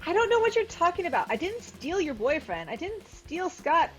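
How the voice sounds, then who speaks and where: A woman acts out a bewildered young woman dragged into a love feud. Her very high voice swoops widely as she protests, incredulous and exasperated, over faint music. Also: big pitch swings, normal speed.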